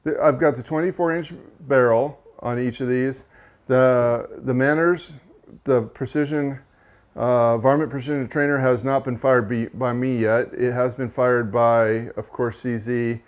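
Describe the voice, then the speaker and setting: Male channel host, mid-pitched monotone, casual product-review read with fillers and restarts. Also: a little slow. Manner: relaxed, with frequent fillers and self-corrections.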